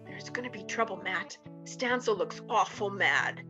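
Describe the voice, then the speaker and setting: A woman's voice: mid-range voice, a little slow, natural voice. A woman playing a knowing, gossipy western saloon woman in a radio drama, casual and pointed. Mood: watchful, concerned. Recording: slightly dull recording, guitar music behind.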